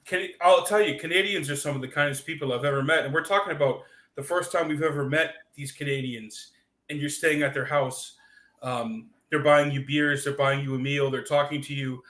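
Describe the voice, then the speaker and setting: A man co-hosting a loose talk show, telling a story in a rambling way with plenty of fillers and restarts. His voice is bright and forceful. It rings around an echoey room. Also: fairly high pitch, fairly even intonation, a little fast. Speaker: podcast co-host. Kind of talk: casual chat reading super chats.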